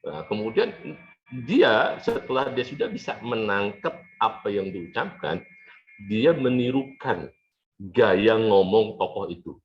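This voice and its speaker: Casting: male lecturer, low voice, informal and repetitive classroom delivery with check-in tags, muffled lo-fi recording. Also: expressive, unhurried, a little hiss or hum. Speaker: lecturer in an Arabic language course. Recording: dull lo-fi lecture audio.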